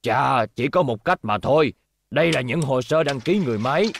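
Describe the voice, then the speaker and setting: A man's voice: medium pitch, lively intonation, brisk, vocal fry, slight background noise. A man dubbing an elderly, authoritative and exasperated professor in a cartoon, speaking emphatically. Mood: thoughtful, explaining. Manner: stern, emphatic, explanatory.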